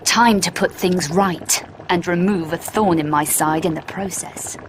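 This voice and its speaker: A woman's voice: on the low side, unhurried. A woman playing a fearless young English adventurer, dry and teasing, in noisy old game cutscene audio. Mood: cool, determined. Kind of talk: video game cutscene acting.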